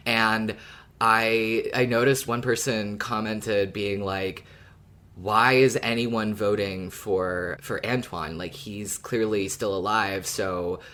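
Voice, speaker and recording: A man's voice: fairly low pitch, a bit bright. A man co-hosting a podcast in casual discussion, explaining things in a thoughtful, hedging way. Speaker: podcast co-host.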